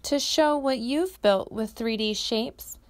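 Slow-talking, high-pitched woman teaching young children. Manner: instructive, asking questions and prompting children. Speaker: host of children's read-aloud.

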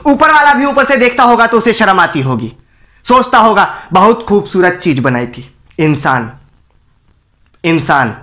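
A man playing a defiant condemned man who bitterly berates an apathetic crowd in a theatrical, scornful film-style monologue with emphatic pauses. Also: sits high, very animated, sharp tone, heavily acted. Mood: scornful, disgusted. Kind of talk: dramatic Hindi film monologue recital.

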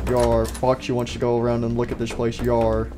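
A man's voice: mid-range voice, completely flat delivery, moderate pace, dark tone, faint music behind, pitched below the performer's own voice, lightly acted. Man doing a rambling, jaunty animatronic pirate fox. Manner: starts sentences with "yar".

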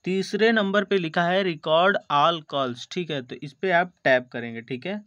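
Bright, higher-pitched Indian man presenting. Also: a little slow, no echo, lo-fi sound. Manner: repeatedly seeks confirmation, addresses viewers as friends. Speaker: tutorial video host. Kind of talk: step-by-step phone tutorial narration.

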